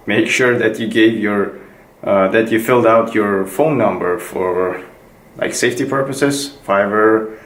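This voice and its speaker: Young man, low voice, loose rambling vlog delivery, roomy echo. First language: Kazakh. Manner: rambling, hesitant, many fillers and restarts. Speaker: vlogger. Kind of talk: casual tutorial vlog. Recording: echoey room with faint music.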